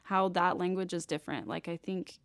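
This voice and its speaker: A woman's voice: lowish voice, steady tone, a little fast, no echo. A woman with an American accent chatting informally as a podcast co-host, often saying "like". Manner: chatty, informal, frequent use of "like". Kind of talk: casual podcast chat.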